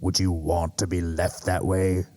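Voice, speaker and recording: A man playing a jaded, fatherly griffin mentor in an audio drama, gravelly and patiently instructive. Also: low voice, little pitch movement, unhurried, warm tone. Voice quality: gravelly, husky.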